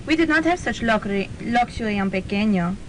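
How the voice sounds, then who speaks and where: A woman acts out an earnest foreign assistant in a student radio comedy. Her voice is a bit high with a Spanish accent and literal, careful phrasing, over hiss and rumble. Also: lively intonation, a little fast, close to an everyday voice, poor audio. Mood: chatty, earnest.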